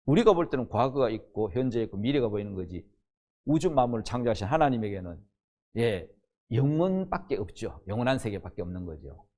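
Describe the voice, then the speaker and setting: A man's voice: medium pitch, sing-song, brisk, darkish tone. A man in his sixties, a pastor teaching the Bible in a sermon, emphatic and often posing questions that he answers himself. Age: 60s.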